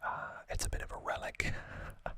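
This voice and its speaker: A man hosting ASMR in a breathy, unvoiced whisper, rambling hesitantly and a little self-deprecatingly as he chats. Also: normal speed, bright, forceful tone. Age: late 20s or older. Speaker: ASMR channel host. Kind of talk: whispered ASMR unboxing chat.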